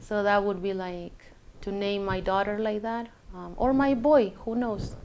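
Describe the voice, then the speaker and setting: Chilean woman, spanish accent native speaker, slow pace, medium pitch, casual reflective personal chat, kinda hesitant hunting for english words. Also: fairly even intonation, darkish tone, slight background noise. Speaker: interviewee telling a personal story.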